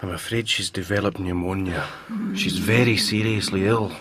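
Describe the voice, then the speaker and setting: An actor plays an overworked Scottish country doctor in a radio drama. His voice is on the low side, grave and plain-spoken, with earnest care for his patients. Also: fairly even intonation, moderate pace, a crowd in the background, natural voice. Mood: grave, sombre.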